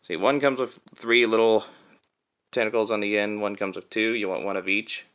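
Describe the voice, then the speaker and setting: Bright-voiced low-pitched man reviewing toys. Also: fairly even intonation, normal speed, smooth. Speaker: toy reviewer. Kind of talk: casual hands-on product demonstration.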